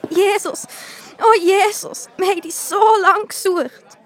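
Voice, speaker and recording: A loving, anxious mother in a children's radio play. Her voice is high and expressive, with a Swiss German accent. An outdoor crowd surrounds her. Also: a little slow. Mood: relieved, upset. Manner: emotional, expressive. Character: Maria, anxious loving young mother of Jesus. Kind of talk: children's audio drama acting.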